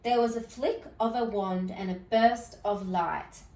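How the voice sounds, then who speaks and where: A woman reading a children's fairy tale aloud in an expressive storytelling style. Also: mid-range voice, unhurried, reverberant, far from the mic. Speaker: storybook reader.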